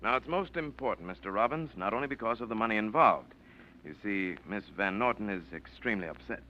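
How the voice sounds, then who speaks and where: Actor playing a calm, skeptical lawyer. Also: male voice, fairly low pitch, lightly acted. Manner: measured, soothing, reasonable. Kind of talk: 1940s radio acting. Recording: dull old radio broadcast with faint hiss.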